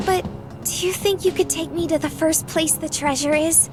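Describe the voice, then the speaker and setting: Very high, peppy young woman. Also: steady tone, normal speed. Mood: hopeful pleading. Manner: bubbly, eager. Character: peppy, naive, excitable young woman, pleading sweetly. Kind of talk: visual novel game voice acting. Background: loud music.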